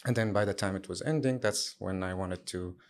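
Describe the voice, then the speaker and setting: A man in his thirties from Syria, answering interview questions in a relaxed way with many fillers and restarts. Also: deep voice, fairly quick. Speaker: guest, sustainability lead.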